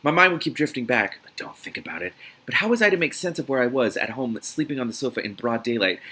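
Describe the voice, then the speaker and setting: A man reading a novel aloud as host of a reaction series, in a dramatic, expressive manner. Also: medium pitch, fast talker, breathy edge.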